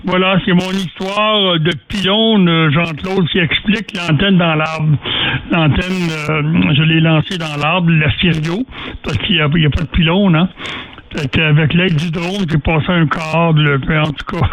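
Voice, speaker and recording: A man with a Quebec French accent chatting casually as an amateur radio operator, telling stories in a halting way, searching for his words. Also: on the high side, steady tone, a bit bright, poor audio. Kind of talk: casual radio chat, storytelling.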